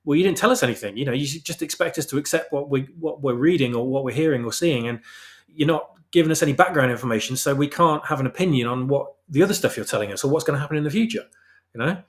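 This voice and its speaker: A man speaks quickly in a casual podcast discussion, with a mid-pitched voice. He is emphatic and opinionated, often restating a phrase to press it home. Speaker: guest, fan-fiction writer.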